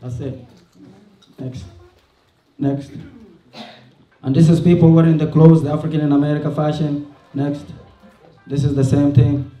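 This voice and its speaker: A man's voice: on the high side, level tone, measured pace, long pauses, dull audio, faint music behind. A man giving a casual talk at a showcase event, speaking over his slides, chatty and laughing often. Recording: echoey room from far off.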